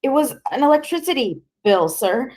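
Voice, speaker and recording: A woman's voice: lively intonation, unhurried, darkish tone, close to an everyday voice. A woman voicing a terrified street boy begging and sobbing through a police interrogation. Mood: frantic. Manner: desperate, shrill, sobbing.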